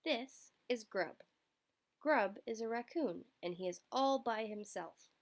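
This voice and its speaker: Animated woman reading to children. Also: on the high side, takes it slowly. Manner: expressive, addresses child listeners with questions. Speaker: storyteller for young children. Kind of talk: interactive picture book read-aloud.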